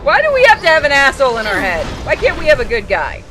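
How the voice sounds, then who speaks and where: A man's voice: very high-pitched, huge pitch swings, quick pace, crowd noise, background noise. A man giving casual, joking gameplay commentary as a streamer, swearing freely. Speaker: game streamer. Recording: game music behind the voice.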